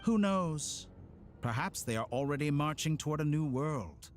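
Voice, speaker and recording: A male actor voices an arrogant scientist villain from a video game. He speaks with pompous, mocking courtesy and a very animated pitch, like someone who believes he is above everyone. Music plays faintly underneath. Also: normal speed, natural voice. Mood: smug. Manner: pompous, mocking, mannered speech. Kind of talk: scripted video game voice acting.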